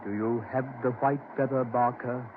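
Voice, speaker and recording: A man's voice: mid-range voice, steady tone, narrow, tinny audio, lightly acted. An actor playing the vengeful ghost of a murdered man in a 1940s radio horror drama, coldly taunting and mocking. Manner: slow, menacing, mocking delivery. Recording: thin, noisy 1940s radio recording.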